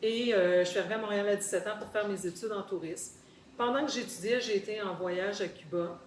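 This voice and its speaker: A woman's voice: medium pitch, brisk. A woman with a Québécois French accent tells of her experiences conversationally, articulate and explanatory, repeating words for emphasis. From Quebec, Canada. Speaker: guest sharing personal experience. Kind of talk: conversational storytelling in a group discussion. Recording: roomy echo, faint background noise.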